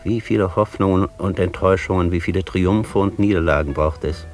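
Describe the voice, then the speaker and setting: An actor playing an exalted master poet and thinker in a German radio drama, speaking in a solemn, rhapsodic way with weighty pauses. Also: male voice, low voice, a little mellow, faint music behind, close to an everyday voice. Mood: weary, grave. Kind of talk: German radio drama acting, literary declamation.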